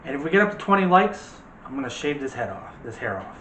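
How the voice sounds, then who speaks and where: A man's voice: mid-range voice, very animated, fast, a bit bright. Guy hosting a movie channel, bantering and teasing, echoey room with a bit of background noise. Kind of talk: casual movie discussion.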